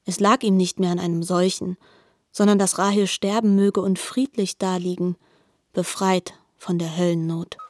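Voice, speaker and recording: A woman's voice: lowish voice, little pitch movement, normal speed, no room sound, studio quality, lightly acted. A woman narrating a literary radio drama as a grave, articulate storyteller.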